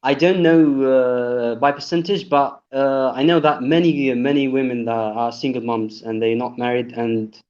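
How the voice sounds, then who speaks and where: A man in his twenties from Algeria, calling in to a live stream in halting English, with lots of fillers and restarts. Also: medium pitch, steady tone, a little mellow. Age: 20s. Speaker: caller. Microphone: online call. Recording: lo-fi call audio.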